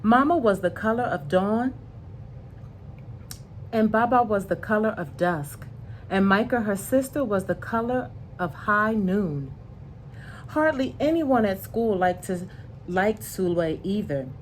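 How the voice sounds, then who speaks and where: A woman reading a picture book aloud to young children in an expressive, deliberate way, pausing to explain things in simple words. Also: medium pitch, a little hiss or hum, rough recording.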